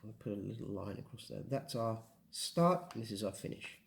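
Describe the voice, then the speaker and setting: A man's voice: on the low side, lively intonation, fairly quick, vocal fry, slight background noise. Man, teaching basics. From England. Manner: patient, plain step-by-step explaining. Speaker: hiking guide explaining navigation. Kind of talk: casual instructional explanation.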